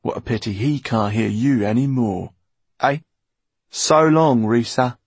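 A man's voice: lowish voice, a little slow, vocal fry, dark tone, breathy edge, no echo, full character voice. A man voicing a superstitious, mocking henchman in an audiobook, with a taunting way of talking. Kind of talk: audiobook character acting.

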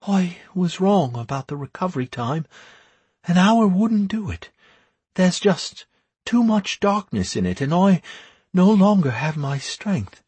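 A man's voice: high voice, sing-song, measured pace, a little mellow, husky, dry recording, voice pushed up, exaggerated voice. Storybook priestess, performed by a man: raised, lilting voice, bewildered and inquisitive. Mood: weary. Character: former high priestess, adult woman, bewildered, questioning.

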